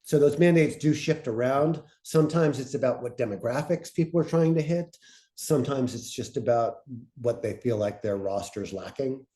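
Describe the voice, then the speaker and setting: A man speaking informally as a panelist, thoughtful and halting, often breaking off to rephrase his point. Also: medium pitch, dark tone. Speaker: panelist presenting on podcast pitching.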